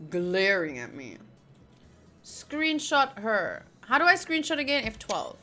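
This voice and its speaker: Bright, high-voiced woman game streamer. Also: wildly swooping pitch, slow pace, soft background music. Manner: chatty, breaks off from reading into playful asides. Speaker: game streamer reading a visual novel aloud. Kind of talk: casual playthrough commentary and reading aloud.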